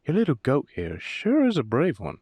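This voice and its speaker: A man's voice: mid-range voice, wildly swooping pitch, normal speed, warm tone, husky, no room sound. A man playing a brash, proud werewolf brother in an audio roleplay, with a blunt manner. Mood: cocky teasing.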